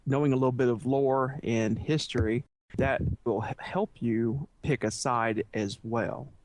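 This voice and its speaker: A man on a podcast panel giving informal advice in a measured, explanatory way. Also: mid-range voice, fairly even intonation, darkish tone, slight background noise.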